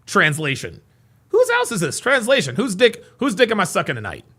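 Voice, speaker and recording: A man giving casual live reaction commentary as a host, mockingly repeating what others say in an animated way. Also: high voice, brisk, husky.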